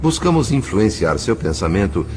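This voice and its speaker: A man's voice: on the low side, big pitch swings, moderate pace, over music. A man playing a solemn, compassionate narrator in an audio drama, reading literary prose expressively. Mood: grave concern. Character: solemn, compassionate male spirit narrator of a story.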